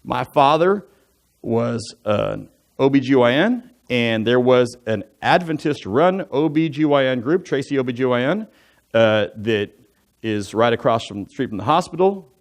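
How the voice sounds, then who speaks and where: A man in his forties, an elder from the United States, telling his life story to a church congregation in a relaxed, conversational way, full of asides and jokey fun facts. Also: mid-range voice, measured pace. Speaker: church elder giving a testimony. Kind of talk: personal testimony talk from the pulpit. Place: church sanctuary.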